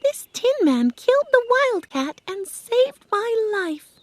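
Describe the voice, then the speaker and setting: A woman voicing a tiny, regal female mouse queen in a storybook reading, with a squeaky, excitable, lilting delivery. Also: slow pace, dry recording, heavily acted. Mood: gracious.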